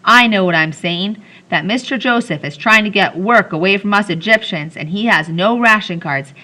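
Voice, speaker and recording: A woman's voice: mid-range voice, sharp tone, pitched above the performer's own voice. A woman voicing a bossy, scheming young boy who snaps orders at the other children. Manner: bossy, cocksure, snapping.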